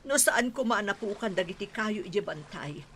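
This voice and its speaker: Actress as a grave woman, radio drama, bright forceful voice, faint noise. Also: mid-range voice, expressive, moderate pace, close to an everyday voice. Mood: dismayed. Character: grave woman reporting storm damage to her mistress.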